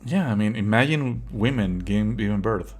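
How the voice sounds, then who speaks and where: A man's voice: lowish voice, lively intonation, normal speed. A man giving casual, mocking let's-play commentary as a livestreamer. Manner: sarcastic, mocking. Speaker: livestreamer playing a game. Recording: faint game music behind. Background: game music.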